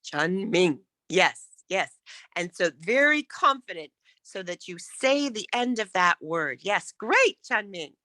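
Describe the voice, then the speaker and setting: A woman's voice: mid-range voice, very animated, slow, pushed and bright, dry recording, studio quality. A woman coaching public speaking in an interactive lesson, encouraging and emphatic as she demonstrates.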